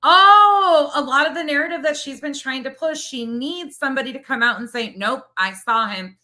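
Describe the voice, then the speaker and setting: A woman host giving casual reaction commentary in a bright, cutting voice pitched a little high. Her manner is sarcastic and mocking, and she repeats points to drive them home. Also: moderate pace. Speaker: host reviewing another commentator's video.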